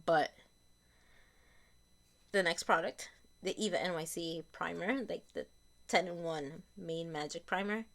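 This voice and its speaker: A young woman with a fairly low voice, a bit raspy from a lingering cold. She chats casually through her used-up beauty products, often repeating and correcting herself as she goes. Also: unhurried, long pauses. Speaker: beauty vlogger.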